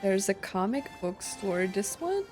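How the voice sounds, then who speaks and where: Unhurried woman commenting over game music. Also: medium pitch, big pitch swings, darkish tone. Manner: thinking aloud, reads on-screen text aloud. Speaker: streamer playing a game. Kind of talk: casual gameplay commentary. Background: video game music and dialogue.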